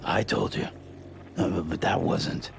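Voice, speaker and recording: A man voicing a gruff, weary courier in a video game cinematic, terse and halting. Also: low-pitched, fairly even intonation, noisy background, heavily acted. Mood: guarded.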